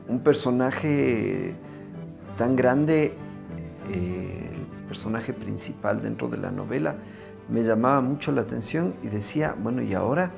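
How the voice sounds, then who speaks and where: Man, mid-pitched with creaky edges, casual conversational interviewee, clear instrumental music behind. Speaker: radio actor.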